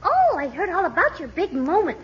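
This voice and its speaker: Very high-pitched, gossipy young woman. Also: wildly swooping pitch, fairly quick, fairly bright tone, heavily acted. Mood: gossipy, teasing. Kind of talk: 1940s radio comedy acting. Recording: dull, noisy vintage radio recording.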